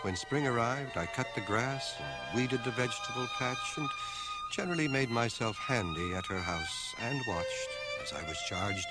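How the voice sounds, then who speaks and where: An actor playing a grown man looking back on a guilt-ridden boyhood, narrating a literary radio drama in a measured, reflective way. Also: medium pitch, big pitch swings, close to an everyday voice, rough recording. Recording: 1980 radio drama cassette, violin music behind.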